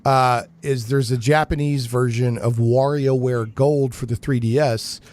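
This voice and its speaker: A man's voice: medium pitch, fairly even intonation, a little slow, darkish tone. A man hosting a live geek talk radio show in a conversational, informal way.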